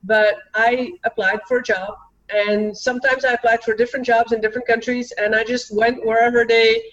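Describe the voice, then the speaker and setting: Brief: woman guest, fairly high voice, quick chatty delivery, dull lo-fi line. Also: little pitch movement, a bit bright. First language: Dutch. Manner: quick, chatty, many "you know" fillers. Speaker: guest, author and teacher. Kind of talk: casual interview conversation. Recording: dull lo-fi sound.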